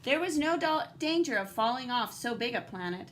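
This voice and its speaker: High-voiced woman reading aloud to children. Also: normal speed, slight background noise. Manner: animated, dramatic emphasis, guiding and questioning. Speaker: teacher reading a picture book aloud. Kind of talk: expressive children's read-aloud with asides to young listeners.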